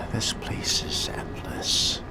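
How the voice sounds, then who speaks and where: A man role-playing a sardonic, predatory vampire in solo game commentary, with wry, theatrical delivery and formal turns of phrase, under loud music. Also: extremely slow, whispered. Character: sardonic, predatory fledgling vampire stalking prey. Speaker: gamer role-playing through a video game.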